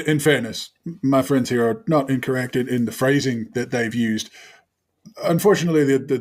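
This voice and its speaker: A man with a New Zealand accent playing a sardonic water genasi monk in a tabletop game, wry, wordy and halting. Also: mid-range voice, darkish tone, lightly acted.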